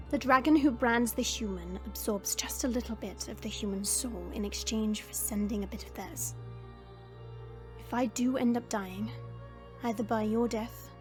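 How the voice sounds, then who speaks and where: A woman playing a regal dragon queen in a scripted audio roleplay, earnest and resolute, with formal, deliberate phrasing. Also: a little high, natural voice. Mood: grave resolve. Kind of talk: scripted audio roleplay acting with first-person narration. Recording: music behind the voice. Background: background music.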